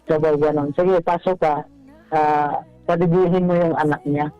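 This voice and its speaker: A man phones a radio show to tell his story in a halting, polite way. His voice is high and dark, heard over a dull phone line with faint music. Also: steady tone, clear, resonant tone. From Bohol, Philippines. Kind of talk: casual personal storytelling. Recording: dull, band-limited phone call.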